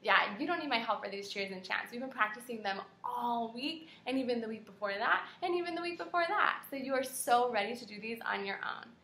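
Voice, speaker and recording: A young woman teaching kindergarten, reading aloud and prompting children with animated, encouraging questions. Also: on the high side, brisk, few pauses, slight background noise. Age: young adult. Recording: echoey indoor room.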